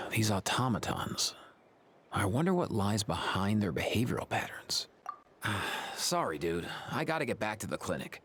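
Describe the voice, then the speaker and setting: A man's voice: on the low side, sing-song, a little slow, fairly bright tone, a little hiss or hum. A man acting as a laid-back, cautious young vet in a video game, with a casual, dry and slightly hesitant delivery. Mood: apologetic, fond. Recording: music under the voice.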